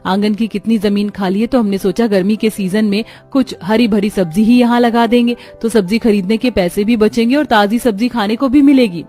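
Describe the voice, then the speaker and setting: A woman's voice: mid-range voice, fairly quick, few pauses, mellow, close to an everyday voice. Woman playing an eager young village daughter-in-law, faint music. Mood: eager, practical.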